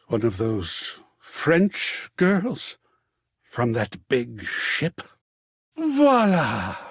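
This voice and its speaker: A man playing a grandiose, excitable living embodiment of art in a video game, husky and florid, with melodramatic theatrical delivery. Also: high voice, no echo, studio quality, heavily acted. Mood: eager, grandiose. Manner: florid, melodramatic, swooping between hushed awe and exclamation.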